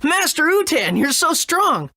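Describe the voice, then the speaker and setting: A woman's voice: high voice, very animated, measured pace, sharp tone, no echo, studio quality, exaggerated voice. A woman voicing an eager, wide-eyed young adventurer boy in a video game. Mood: curious, surprised. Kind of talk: video game character acting.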